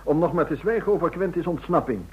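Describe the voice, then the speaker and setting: An actor playing a deferential, earnest intelligence chief in a radio drama, husky and formal, with a hesitant delivery. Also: male voice, fairly high pitch, fast. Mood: formal, protesting. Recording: dull lo-fi old radio recording.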